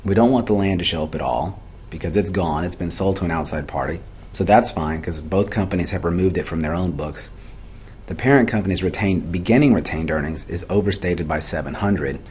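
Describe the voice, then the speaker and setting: Very deep-voiced man teaching, noisy recording. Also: a little fast, a little mellow. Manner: methodical, step by step, poses questions then answers them. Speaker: accounting instructor. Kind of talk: explanatory lecture for a video tutorial.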